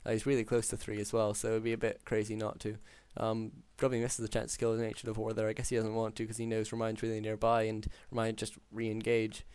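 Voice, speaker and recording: A man calling a strategy game match alone, talking through plays with many ums, his voice hoarse after hours of casting. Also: on the low side, fast, hardly any pauses, no room sound. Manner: steady, filler-heavy analytical play-by-play. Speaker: game caster. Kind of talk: solo esports play-by-play commentary.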